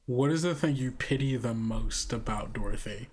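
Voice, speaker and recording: A man running a tabletop role-playing game as game master, casual and measured, pausing between phrases as he narrates. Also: mid-range voice, darkish tone, husky.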